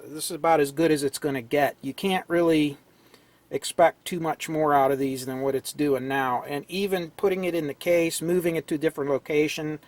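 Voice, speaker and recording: Hoarse man, slightly high voice, relaxed workbench tutorial, clears his throat. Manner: relaxed, talks while tinkering, frequent throat clearing. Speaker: radio repair hobbyist. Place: cluttered electronics workbench indoors.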